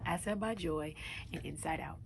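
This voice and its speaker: A young woman from Long Island with a New York accent, a podcast host with a deep, raspy voice, talking to her listeners in an earnest, motivational way. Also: moderate pace, noisy background. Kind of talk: casual solo podcast talk.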